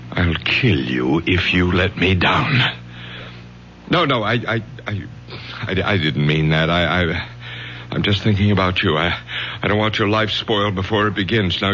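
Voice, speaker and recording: A man's voice: fairly low pitch, sharp tone, slight background noise. An actor playing a domineering, anxious middle-aged professor and father, urgent and given to stammered repetitions. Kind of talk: 1970s radio drama acting. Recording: dull, humming radio drama recording.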